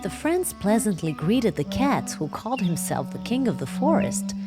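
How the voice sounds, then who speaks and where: A woman narrating a children's bedtime story, telling it warmly and addressing the listeners directly. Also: mid-range voice, very animated, moderate pace. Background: music.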